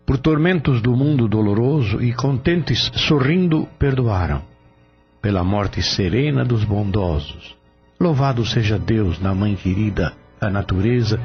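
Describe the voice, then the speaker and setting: A man playing a humble spirit orator in a radio drama, reciting a prayer in a reverent, declamatory way. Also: on the low side. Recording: slightly dull audio under music. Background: music.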